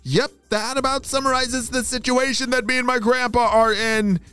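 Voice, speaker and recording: A man doing an eager young boy, raised pitch. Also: steady tone, normal speed, bright, forceful tone, smooth, faint music behind. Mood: anxious.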